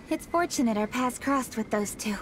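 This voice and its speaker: A woman's voice: fairly high pitch, lively intonation, moderate pace, a bit bright, a little hiss or hum, low-quality recording. An actress playing an authoritative young noblewoman official in a video game, formal and composed. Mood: determined. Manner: formal, crisp, commanding. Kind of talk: video game cutscene acting. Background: music.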